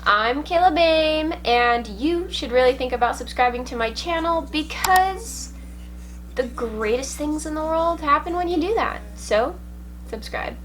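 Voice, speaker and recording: High-voiced congested young woman vlogger. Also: lively intonation, a little slow, a little hiss or hum. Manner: chatty, self-mocking, upbeat. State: congested from a cold. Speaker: YouTube crafts vlogger. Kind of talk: casual vlog narration. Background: faint background music.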